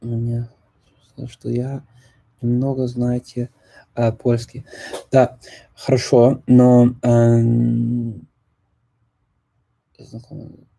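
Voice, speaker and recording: Host voice: native English-speaking man, very dark and mellow tone, flat delivery, halting as he hunts for words. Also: mid-range voice, vocal fry. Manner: halting, often pausing to search for words. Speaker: host of a discussion on multilingual events. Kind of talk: casual live group chat.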